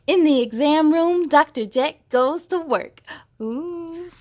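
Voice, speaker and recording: A woman's voice: high voice, slow pace. A woman reading a picture book aloud for children, expressively, addressing young listeners with questions and exclamations. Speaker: host of children's read-aloud story time.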